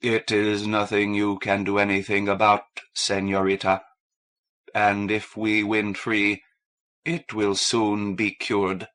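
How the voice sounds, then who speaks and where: A man voicing a big, courteous, melancholy alien in an audiobook, speaking slowly and formally. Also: lowish voice, monotone, fairly bright tone. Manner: slow, formal, deliberate.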